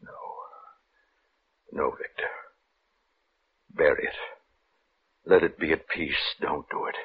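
An actor playing a troubled minister, grave, fearful and morally firm, pleading haltingly in old-time radio drama style. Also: male voice, extremely low pitch. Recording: muffled, noisy old radio recording.

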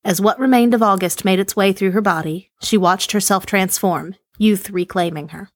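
A woman reading a short horror story aloud in steady, measured narration. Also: mid-range voice, dry recording. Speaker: story reader.

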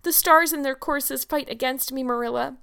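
Woman acting a high-pitched, melodramatic tearful young girl. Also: animated, a little fast, fairly bright tone. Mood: tragic, heartbroken. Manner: melodramatic, flowery, wailing. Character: dramatic, tearful, earnest young orphan girl.

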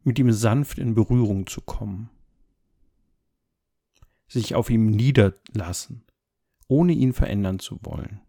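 A man guides a meditation in a low, mellow voice with a strong creak in it. His delivery is gentle and calm, with unhurried, measured phrases that give soft instructions.

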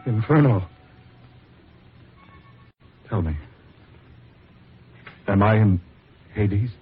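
A man's voice: on the low side, expressive, drawn-out words, husky, heavily acted. A man playing a damned wrongdoer, agitated and pleading in a melodramatic old radio drama. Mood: bewildered. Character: desperate wicked man newly damned, pleading. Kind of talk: vintage radio drama acting. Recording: muffled, noisy old radio recording.

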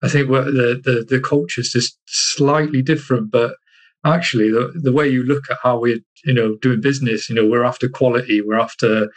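A man from Iceland with a mid-pitched voice talks casually in an interview. He is halting, often doubling back on words as he looks for the right one. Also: fairly even intonation. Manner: halting, searching for words, repeats himself. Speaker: interview guest, army soldier.

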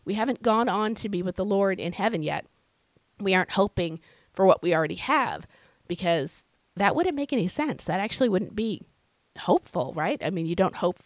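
A woman hosting a devotional podcast, her voice scratchy and hoarse from illness, teaching in an earnest, conversational way. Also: mid-range voice, no room sound. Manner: earnest, conversational, with fillers and repeated phrases. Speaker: podcast host.

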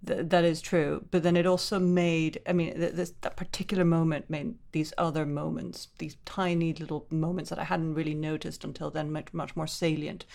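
A woman's voice: low voice, flat delivery, normal speed, creaky, hardly any pauses, a little mellow. A middle-aged woman from Venezuela, an author telling personal stories in a thoughtful, earnest, descriptive way as an interview guest. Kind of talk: reflective personal storytelling in interview.

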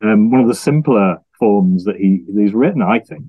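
Halting, thoughtful man, close dry sound. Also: on the low side, expressive, husky, no room sound, narrow, tinny audio. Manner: halting, repeats words as he thinks. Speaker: podcast panelist. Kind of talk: thoughtful casual analysis.

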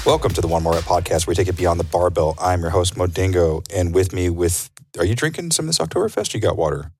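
Low-voiced joking male podcast host. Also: expressive, fast talker, darkish tone, soft background music. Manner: joking, does goofy "ba ba ba" sound effects. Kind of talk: casual podcast banter.

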